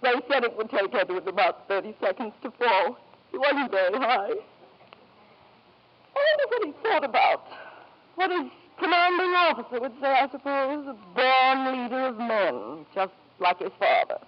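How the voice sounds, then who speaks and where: An actress playing a middle-aged wife, drunk, bitter and grieving, speaking with mock formality and wandering pauses in a 1950s radio drama. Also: female voice, fairly high pitch, sing-song. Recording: dull, faintly noisy vintage radio recording.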